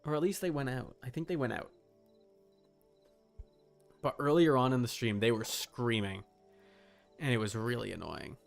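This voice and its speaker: A young adult man chatting casually as he streams a game, rambling and halting with repeated words. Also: mid-range voice, creaky, faint music behind. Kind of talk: casual livestream chat while gaming.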